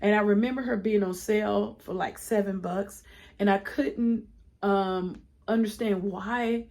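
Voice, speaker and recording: A woman's voice: medium pitch, steady tone, unhurried, a little mellow. A woman giving a casual plant collection tour, congested from a cold, chatty with frequent ums and restarts. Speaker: plant vlogger.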